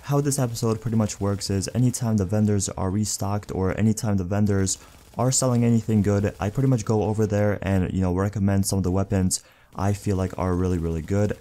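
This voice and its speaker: A man with a stuffed, nasal voice giving relaxed, casual commentary over game footage. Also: lowish voice. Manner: relaxed, talks through recommendations with many fillers. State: stuffed nose. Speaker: gaming YouTuber.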